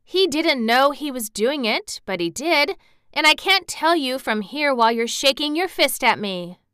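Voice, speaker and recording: A woman's voice: high-pitched, animated, unhurried, fairly bright tone, dry recording, studio quality, pitched above the performer's own voice. A woman voicing an obstinate, fiercely loyal young girl who protests insistently, in a storybook reading.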